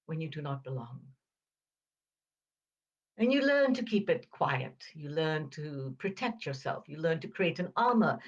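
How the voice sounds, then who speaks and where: An older woman in her seventies speaks with an upper-crust British accent at a slow, measured pace. She enunciates each word crisply and leaves deliberate pauses as she reflects on personal memories. The audio is slightly dull and muffled. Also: lowish voice. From Uganda. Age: 70s. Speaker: podcast host. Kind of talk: reflective personal storytelling monologue.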